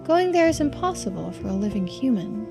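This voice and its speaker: A woman voicing a genteel, composed and secretive housewife in a video game, speaking politely, formally and with quiet persuasion. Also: fairly high pitch, big pitch swings, brisk, gravelly, over music. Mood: mysterious. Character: genteel, composed, secretive housewife who knows occult lore. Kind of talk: video game dialogue read aloud.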